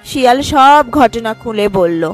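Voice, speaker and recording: A woman with a high voice narrates a scripted cartoon fable as a storyteller. Clear background music plays under her. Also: animated, measured pace.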